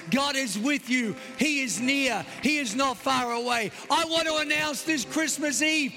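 A man with an Australian accent preaching a Christmas Eve sermon, emphatic and swinging between loud and soft. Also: very high voice. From United States. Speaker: pastor. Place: large church hall. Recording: long hall reverb.

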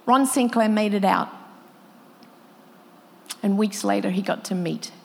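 A woman's voice: mid-range voice, unhurried, creaky, a little mellow, a little hiss or hum. Woman preaching in a reverberant hall. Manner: emotional, quoting others with rising intensity. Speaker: pastor. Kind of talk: sermon storytelling.

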